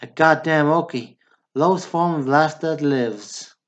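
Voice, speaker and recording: A man's voice: fairly high pitch, unhurried, natural voice. A man acting a brash, boastful small-town Texan in a radio drama, with a folksy, profane storytelling manner. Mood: scornful.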